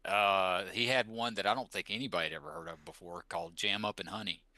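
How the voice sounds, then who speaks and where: A man's voice: sits low, fairly even intonation, quick pace, pushed and bright, no room sound. A middle-aged man, a podcast co-host, chatting casually and rambling through personal reminiscences.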